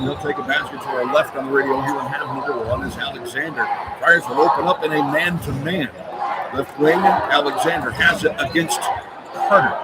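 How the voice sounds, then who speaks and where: An American man calling live basketball play-by-play for radio, describing the action in short clipped phrases. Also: a little high. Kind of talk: live radio sports commentary. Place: large indoor basketball arena. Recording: live arena broadcast with loud crowd noise. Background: loud crowd and arena noise.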